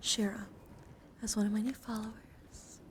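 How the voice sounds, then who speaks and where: Softly whispering woman, noisy ASMR livestream. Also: medium pitch, level tone, normal speed. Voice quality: soft, breathy, often whispered. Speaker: livestreamer and VTuber host. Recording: clearly noisy ambient background.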